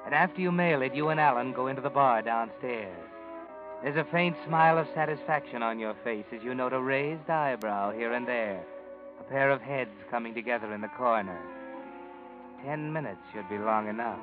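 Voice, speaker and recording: A man narrating an old radio drama in the second person, with measured, insinuating delivery. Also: medium pitch, close to an everyday voice. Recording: tinny old radio broadcast with music behind.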